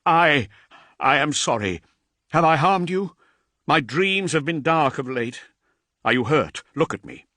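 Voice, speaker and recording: A man's voice: fairly high pitch, expressive, unhurried, long pauses, pushed and bright, dry recording, clean studio sound, voice pushed up. A man voicing an ancient vampiric warrior lord, grave and commanding, in an audiobook. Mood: remorseful.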